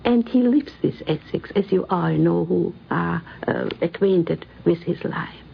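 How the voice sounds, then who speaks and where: An older woman recalls personal memories in an earnest, deliberate way, on a 1950s radio recording. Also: medium pitch, vocal fry, highs cut off, a little hiss or hum. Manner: earnest, deliberate, measured phrasing. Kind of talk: recorded reminiscence, personal storytelling.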